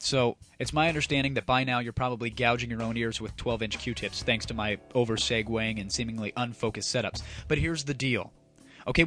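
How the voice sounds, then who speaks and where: A man's voice: mid-range voice, fairly bright tone. Sarcastic man hosting over music. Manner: sarcastic, grandiose, addresses listeners directly. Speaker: comedy show host. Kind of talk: mock-dramatic radio-style commentary over music.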